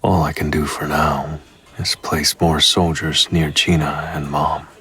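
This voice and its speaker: An actor playing a calm, resolute young male hunter in a game, speaking in a measured, serious way. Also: exaggerated voice. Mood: brooding, resolved. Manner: measured, low-key, serious. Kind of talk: dramatic game voice acting, inner monologue and dialogue. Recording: loud background music under the voice.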